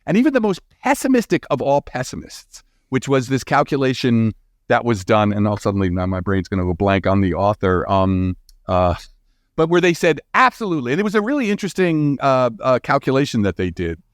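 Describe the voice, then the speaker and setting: A man's voice: medium pitch, sing-song, brisk, no echo, clean studio sound. A man speaking as an expert guest in an interview, explaining in a casual, emphatic, informal way and often checking in with "right?". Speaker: guest expert, astrophysicist and author.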